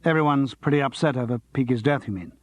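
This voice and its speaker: A man's voice: medium pitch, fast talker, lightly acted. A man voicing a wry, somewhat evasive actor in a storybook reading. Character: wry, middle-aged male jobbing actor.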